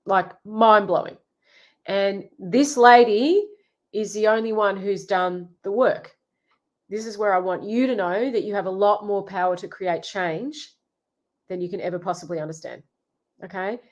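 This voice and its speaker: A woman's voice: medium pitch, unhurried. A middle-aged woman relationship coach hosting a live Q&A, chatty and full of ums and restarts, with a head cold. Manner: chatty, warm greetings, frequent ums and restarts. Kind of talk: live Q&A chat.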